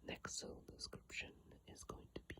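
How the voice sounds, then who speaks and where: An ASMR creator whispering breathily and softly into a phone mic, reading aloud with unhurried, hesitant casual asides. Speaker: ASMR video creator reading game descriptions. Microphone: iPhone microphone, close up.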